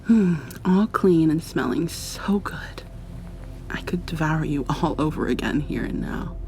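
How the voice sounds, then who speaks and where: A woman playing an affectionate, playfully dominant girlfriend in an intimate ASMR roleplay, murmuring softly and breathily to the listener, often sliding into whispers. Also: measured pace. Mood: affectionate, cozy. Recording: audible background hiss with low rumble.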